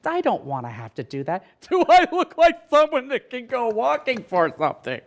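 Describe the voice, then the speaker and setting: A man's voice: very high-pitched, wildly swooping pitch, moderate pace, exaggerated voice. A man playing a pompous, scatterbrained, rude and giggly celebrity interviewer in a parody show. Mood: blunt, rambling. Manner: pompous, erratic, blunt. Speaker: spoof interview show host.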